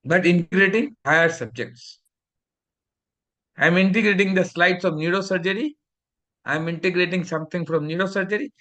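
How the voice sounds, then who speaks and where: A man's voice: high voice, brisk, long pauses, fairly bright tone, muffled recording. A middle-aged man from India with an Indian English accent, lecturing a fellowship class in a preachy, scolding way and mixing in Hindi.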